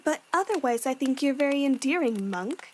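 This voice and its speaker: A woman playing a gentle but firm young warrior, sincere and a little deadpan, in a fan audio drama. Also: high-pitched, normal speed, a little hiss or hum.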